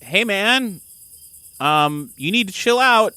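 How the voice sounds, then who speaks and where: Man acting high-pitched panicky reluctant knife fighter. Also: expressive, slow, pushed and bright, smooth, no room sound, heavily acted. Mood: alarmed warning. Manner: slangy, pleading bluster.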